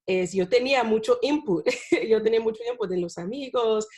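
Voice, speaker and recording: A woman, a non-native Spanish speaker, talking as a guest about teaching in a relaxed interview, with many fillers and tag questions. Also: on the high side, moderate pace, husky. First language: not Spanish. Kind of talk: casual interview talk.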